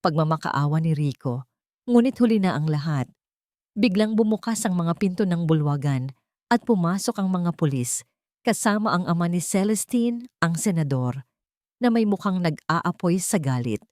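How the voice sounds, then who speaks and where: A woman with a slightly low voice narrates a scripted drama in the first person. The recording is close and dry, as in an audiobook. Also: lively intonation, normal speed, darkish tone, no echo.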